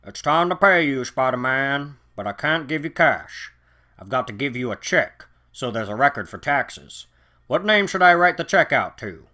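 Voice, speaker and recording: A man's voice: medium pitch, animated, normal speed, bright, forceful tone. A man playing a brusque, dismissive businessman in a comic-book reading. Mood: matter-of-fact.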